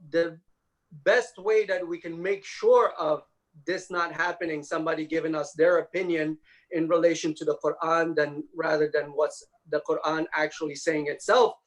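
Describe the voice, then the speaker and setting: A man with a slightly high-pitched voice lectures on religion in a scholarly style. He explains in measured, halting phrases, building each point piece by piece. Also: steady tone, a bit bright, low-quality recording.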